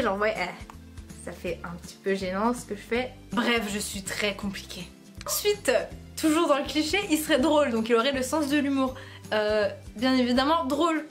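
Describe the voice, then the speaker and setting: Giggly high-voiced young woman vlogging. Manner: playful, giggly, self-mocking. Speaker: YouTuber. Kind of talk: casual vlog chat to camera.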